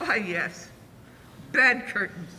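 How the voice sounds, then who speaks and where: An actor playing a sly, callous scavenger with a theatrical, cackling manner in a 1940s radio drama. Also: male voice, very high-pitched, sharp tone, heavily acted. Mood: gloating. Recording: echoey hall, faint background noise.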